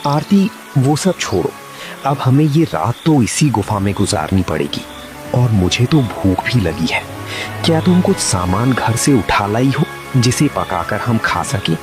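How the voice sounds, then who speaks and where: Man voicing humble hopeful farmer husband, cartoon moral tale, expressive pitch, medium register, background music. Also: unhurried. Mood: reassuring. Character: poor, devout farmer husband, patient and hopeful. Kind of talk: Hindi moral-story cartoon dialogue. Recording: music behind the voice.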